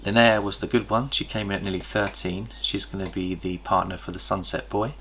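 Brief: middle-aged man, low gravelly voice, enthusiastic breeder chatting to camera, faint room noise. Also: steady tone, a bit bright. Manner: chatty, enthusiastic, pauses to recall details. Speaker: snake breeder host. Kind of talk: casual show-and-tell vlog. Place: indoor reptile room lined with tubs. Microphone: camera across a table.

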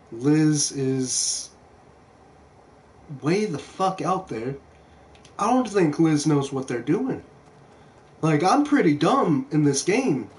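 A man's voice: fairly high pitch, darkish tone. A young man giving casual, laid-back gameplay commentary with self-deprecating, sweary remarks, recorded at home on a headset. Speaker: video game streamer. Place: indoor room at home. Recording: clearly noisy home stream recording.

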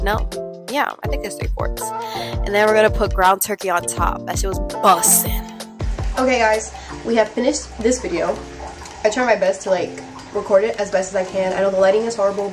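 A young woman in her twenties vlogging her meal prep, talking casually and slangily straight to a phone camera. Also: mid-range voice. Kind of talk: casual vlog chat. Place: grocery store, then home kitchen. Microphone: handheld phone camera at arm's length.

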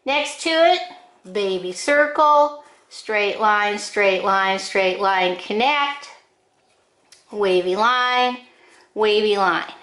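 An older woman giving step-by-step drawing instructions in short, deliberate phrases with frequent pauses, recorded in an echoing room. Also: medium pitch, expressive, a bit bright. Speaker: drawing teacher. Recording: roomy, echoing indoor sound.

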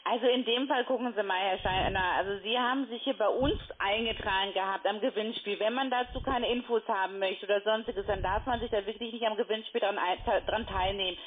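A woman's voice: mid-range voice, fairly quick, few pauses. Woman telemarketer on a tinny phone line. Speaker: telemarketing caller. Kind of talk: unscripted sales call.

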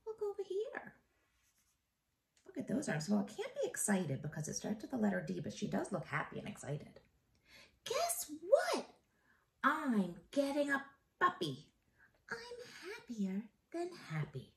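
A middle-aged woman reads a picture book to young children as their teacher. Her pitch swings in a lively way, and she takes her time. She is expressive and encouraging, often stopping to put a question to her listeners. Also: measured pace, gravelly, long pauses. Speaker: elementary school teacher. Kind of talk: read-aloud of a children's picture book, talking to young pupils.

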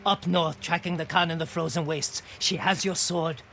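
Cheeky wheedling merchant. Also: male voice, a little high, steady tone, moderate pace, vocal fry, pushed and bright, slight background noise. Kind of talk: video game cutscene acting.

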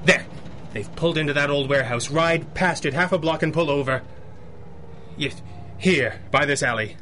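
Urgent, high-pitched gentleman crimefighter. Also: normal speed. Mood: dry, tense. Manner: clipped, commanding, dryly sarcastic. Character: wealthy young gentleman secretly a masked crimefighter, urgent, exasperated. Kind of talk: 1930s-style radio adventure acting. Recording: clear car and street noise.

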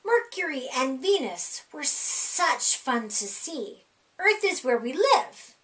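A middle-aged woman reading a rhyming children's picture book aloud in an animated, sing-song, deliberate way for young kids. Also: high voice. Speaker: library storytime reader. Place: small indoor room.

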